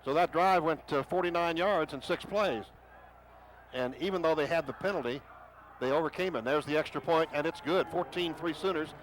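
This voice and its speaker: Excitable radio football announcer, man. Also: on the high side. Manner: rapid excited calls rising to shouts on big plays. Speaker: play-by-play announcer. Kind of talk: radio play-by-play commentary. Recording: noisy stadium radio broadcast.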